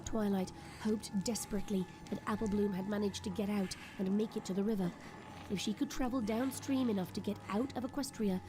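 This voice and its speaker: Woman narrating drama over music. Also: medium pitch. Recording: music behind the voice. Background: clear background music.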